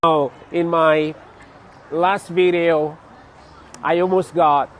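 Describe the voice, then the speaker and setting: A young man from Cameroon chatting casually to his vlog viewers outdoors on a city street, laughing often and pausing with fillers. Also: on the high side, fairly even intonation, a bit bright. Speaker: vlogger. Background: faint street traffic.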